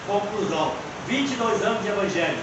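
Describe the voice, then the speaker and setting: A man's voice: sits high, animated, fairly quick. A man in his fifties teaching a seminary class, preaching with rhetorical questions and personal testimony. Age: 50s. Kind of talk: preaching lecture on the Psalms. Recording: echoey distant room mic with background noise.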